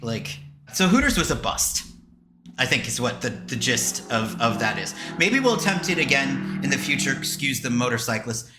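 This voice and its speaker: A man's voice: mid-range voice, very animated, moderate pace, over music. An American man co-hosting a podcast in casual, joking, rambling chat. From southern United States. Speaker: podcast co-host. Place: indoors on a video call. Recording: roomy, echoey sound.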